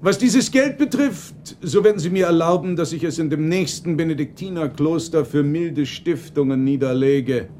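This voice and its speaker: Radio play magician, acted by a man, solemn, formal, unhurried, fairly high expressive voice, faint background noise. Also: close to an everyday voice. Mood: humble, dignified. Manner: ceremonious, measured, formal address. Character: mysterious solemn male magician, grand and secretive. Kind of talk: German radio play acting.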